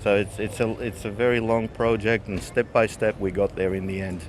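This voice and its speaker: A man with a Slovak accent explains his car's engine in a plain, matter-of-fact way. His voice sits fairly low. Clear background noise runs behind him. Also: steady tone, brisk. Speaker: car owner. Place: outdoor car show.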